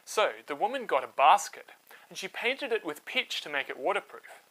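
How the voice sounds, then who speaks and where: A man hosting and narrating a scripted story for children, with dramatic, clearly phrased delivery. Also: sits high, sing-song, normal speed, fairly bright tone, poor audio. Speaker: storyteller, host.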